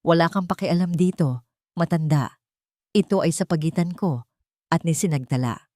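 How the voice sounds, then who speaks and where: A woman's voice: low-pitched, moderate pace, mellow, no room sound, studio quality, natural voice. A woman with a Filipino accent voicing an arrogant, cold ex-husband who speaks in a hard, sneering, commanding way in a narrated story.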